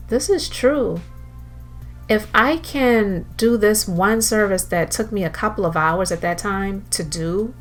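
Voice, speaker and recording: Lower-pitched woman telling a personal story over music. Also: a bit bright. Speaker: entrepreneur telling her story. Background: background music.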